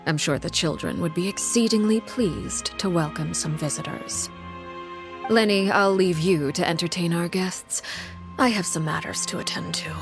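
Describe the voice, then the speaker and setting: A low-pitched, formal woman leader from a video game, played by an actress. She speaks with measured composure and quiet authority. Faint music sits behind the dialogue. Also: natural voice. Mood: calm, grave. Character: commanding, composed woman leader of secretive orphan house.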